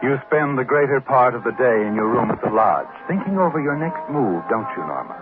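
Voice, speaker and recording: An actor playing an ominous, knowing, taunting storyteller, narrating a 1940s radio drama in an insinuating way. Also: male voice, medium pitch, big pitch swings, a little fast, natural voice. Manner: insinuating, second-person narration. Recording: narrow-band old radio recording. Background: loud dramatic music.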